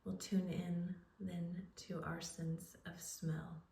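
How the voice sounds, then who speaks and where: Soothing woman with a creaky, lowish voice. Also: flat delivery, warm tone. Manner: soothing, unhurried, with pauses. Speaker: guided relaxation instructor. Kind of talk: guided meditation, gentle spoken instruction. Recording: roomy echo, distant microphone.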